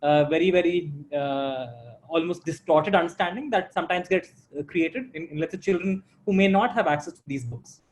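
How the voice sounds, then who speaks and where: A man moderating a panel discussion, halting and often restarting as he frames questions. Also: on the high side.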